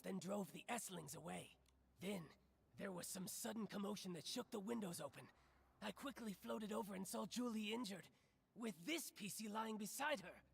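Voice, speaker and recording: A man voicing a small, excitable, scrappy creature in a game, with a gravelly, raspy cartoonish voice. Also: high-pitched, exaggerated voice.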